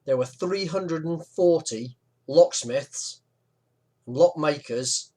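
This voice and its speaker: A man's voice: fairly high pitch, slow. Chatty man. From West Midlands, England. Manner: chatty, relaxed, with fillers and asides. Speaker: history video narrator. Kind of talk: informal explanatory narration over maps.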